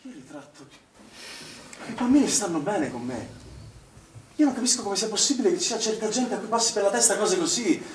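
High-pitched defensive young man, echoey room. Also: expressive, moderate pace, long pauses, far from the mic, a little hiss or hum. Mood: pleading, defensive. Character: accused young male swimming instructor, defensive, indignant. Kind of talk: naturalistic stage drama acting.

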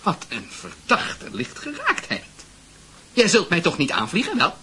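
A man playing a teasing, worldly schoolmate in a radio drama, with a husky voice and a drawling, mocking, pompous manner. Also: sits high, wildly swooping pitch, unhurried, sharp tone, slight background noise, heavily acted.

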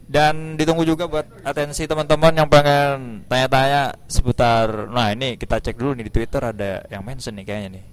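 A man's voice: mid-range voice, fairly even intonation, a little fast, few pauses, smooth. A man hosting a casual radio interview, speaking colloquial Indonesian with a Javanese accent from East Java and coaxing his guests to talk. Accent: Javanese-influenced Indonesian, East Java. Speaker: radio show host.

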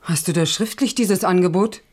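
Actress as scheming middle-aged mother, radio drama. Servile, excitable, hungry for money. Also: fairly low pitch, moderate pace, lightly acted. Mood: greedy, astonished. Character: greedy, servile scheming mother of a kept daughter. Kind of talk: 1970s German radio play acting.

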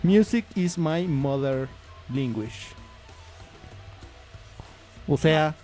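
A man's voice: on the high side, expressive, drawn-out words, dark tone. A man speaking, hosting a casual community radio show with a rambling, chatty manner full of fillers and asides. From Mexico. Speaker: radio host. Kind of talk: casual on-air chat. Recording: radio studio with faint music behind.

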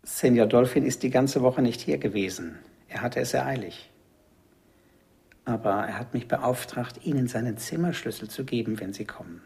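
Gravelly, husky hotel clerk. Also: male voice, mid-range voice, moderate pace, darkish tone. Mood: polite, discreet. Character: discreet, courteous male hotel clerk. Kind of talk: German radio drama acting.

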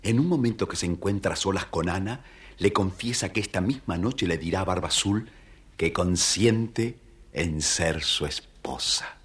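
A man's voice: deep voice, moderate pace, fairly bright tone. A man narrating a scripted children's fairy tale expressively. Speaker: storyteller, narrator.

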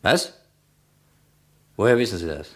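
An actor playing a shrewd, sceptical investigator in a radio crime drama, dry and probing in manner. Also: male voice, on the low side, lively intonation, unhurried.

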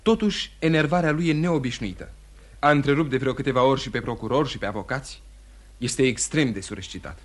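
An actor playing a courteous, composed lawyer in a radio play, explaining things in a measured, polite way. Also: male voice, mid-range voice, lightly acted. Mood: composed, informative. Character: composed, courteous male lawyer commenting on a trial. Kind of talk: mid-century radio theatre acting.

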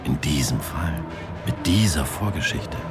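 Stern, deep-voiced police interrogator. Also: male voice, lively intonation. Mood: taunting. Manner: insistent, taunting, deliberate. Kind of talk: naturalistic German radio crime drama acting. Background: music behind the voice.

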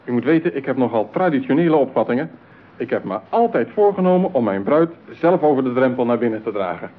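An actor playing an impulsive, insecure yet self-satisfied man in a radio play, insistent and excitable in manner. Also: mid-range voice, fast talker, close to an everyday voice. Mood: urgent. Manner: insistent, excitable, swinging from boast to doubt. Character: impulsive, insecure, self-satisfied male customer seeking a bride. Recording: muffled, narrow-band radio play recording.

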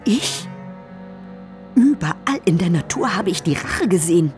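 An actress playing a bitter, vengeful, unsentimental woman in a radio drama, with a low husky voice and a cold, sardonic manner. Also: expressive, measured pace, a little mellow, soft background music. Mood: scornful, vengeful.

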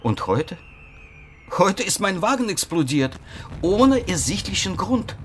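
An actor playing an agitated patient from Croatia in a radio play, insistent and excitable, convinced that Death is hunting him. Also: male voice, high-pitched, takes it slowly, a little hiss or hum, exaggerated voice. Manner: insistent, excitable, dropping into whispers.

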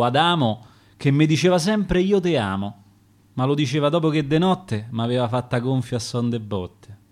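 A man playing a bitter, wronged and outspoken wife, complaining in verse with a Roman accent. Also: on the high side.

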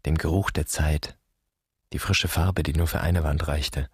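Grave, embittered man for radio drama; rock-bottom low, husky voice, questioning delivery. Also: fairly even intonation, a little slow, dry recording, clean studio sound, heavily acted. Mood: mournful. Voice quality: husky, very deep. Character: grave, bitter grown man pondering his dead father. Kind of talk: literary radio drama monologue.

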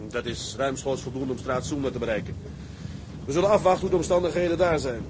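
Actor as brisk, matter-of-fact ship's captain. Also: male voice, mid-range voice, fairly even intonation, lightly acted. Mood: businesslike. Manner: measured orders, matter-of-fact. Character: calm, authoritative tugboat captain. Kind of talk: naturalistic period sea drama acting. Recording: engine and outdoor noise on boat.

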